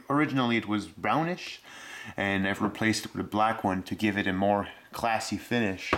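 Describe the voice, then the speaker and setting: A man giving a casual hands-on walkthrough, speaking haltingly as he searches for words, recorded indoors on a handheld camera. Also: fairly low pitch, fairly bright tone. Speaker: hobbyist restorer presenting a rebuilt radio. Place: indoors, room with concrete floor. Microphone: handheld camera's microphone.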